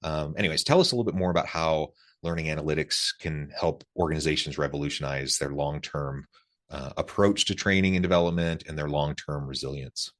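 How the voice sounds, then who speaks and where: A man's voice: rumbling low, a little fast, a bit bright. A man hosting a podcast interview in a conversational way, wordy and often doubling back on his words. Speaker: podcast host.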